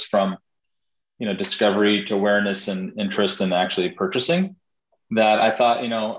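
A man's voice: on the low side, reverberant, rough recording. A man, a startup founder, answering interview questions over a video call in a thoughtful way with many fillers.

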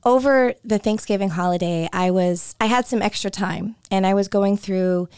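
A woman talks through her solo podcast in a loose, conversational way. Her voice is hoarse and keeps fading in and out. The sound is dry and close. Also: mid-range voice, moderate pace, darkish tone, no room sound. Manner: chatty and conversational, with frequent restarts. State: hoarse, voice coming and going. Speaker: podcast host. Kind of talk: casual solo podcast talk.

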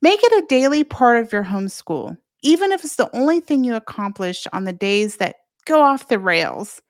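A woman's voice: fairly high pitch, sing-song, moderate pace, no room sound, studio quality. A woman presenting a scripted solo podcast as its host, speaking directly and encouragingly to parents.

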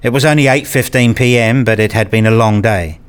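A man's voice: medium pitch, fairly quick, clean tone, lightly acted. A man playing a weary, exasperated husband and father in a radio play, narrating and reasoning in a placating way. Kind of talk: radio play dialogue and first-person narration.

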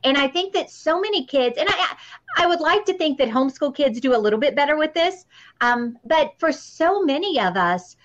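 A woman's voice: high voice, lively intonation, moderate pace, fairly bright tone. A woman hosting a casual interview in an earnest, appreciative way, repeating words for emphasis. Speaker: interviewer, podcast host. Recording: slightly dull, lo-fi audio.

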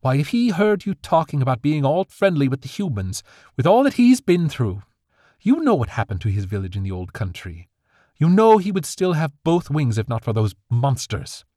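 A man's voice: on the high side, sing-song, moderate pace, mellow, no echo, clean studio sound, voice pushed up. A man voicing a strict fairy mother, speaking in a stern, emphatic, scolding way.

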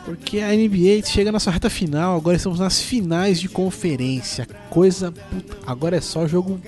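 High-pitched man, São Paulo accent, congested with flu, informal sports podcast host, self-deprecating, rambling. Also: a little fast, darkish tone, soft background music. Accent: São Paulo Brazilian Portuguese. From São Paulo, Brazil. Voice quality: congested from a cold. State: has the flu. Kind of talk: casual sports news chat.

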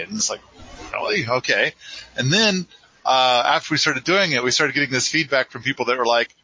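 A man's voice: medium pitch, sharp tone, breathy edge, lo-fi sound. A man hosting a live podcast in a rambling, casual, self-deprecating way. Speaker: podcast host.

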